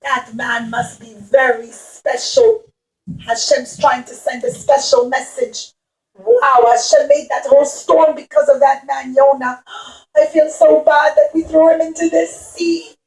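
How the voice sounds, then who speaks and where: A woman voicing anxious, soft-hearted sailors and their captain in a children's puppet show. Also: high voice, fairly even intonation, a little slow, roomy sound, poor audio. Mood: amazed, regretful.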